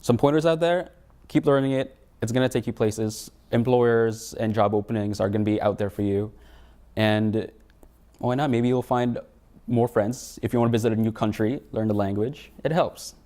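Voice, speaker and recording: A man's voice: medium pitch, fairly even intonation, moderate pace, mellow. A young man in his twenties of Filipino background, answering interview questions in a plain, earnest way. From Philippines. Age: 20s. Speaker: student interviewee.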